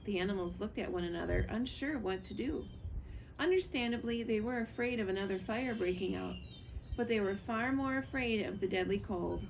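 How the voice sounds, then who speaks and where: A woman's voice: mid-range voice, normal speed, rough recording. A woman reading a children's story aloud as a librarian would, recorded on a screened porch. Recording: clear background noise of outdoor porch.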